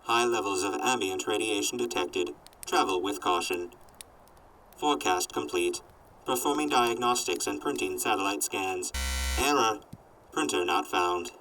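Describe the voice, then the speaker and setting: A man voicing a sardonic robot companion in an audio drama, reporting in a formal, clipped deadpan. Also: on the low side, measured pace, distant mic, a little hiss or hum, rough recording. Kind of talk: post-apocalyptic audio drama acting.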